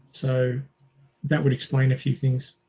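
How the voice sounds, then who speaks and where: A man's voice: medium pitch, completely flat delivery, a little slow, a little mellow, breathy edge. A man on a podcast panel, explaining his view in casual discussion.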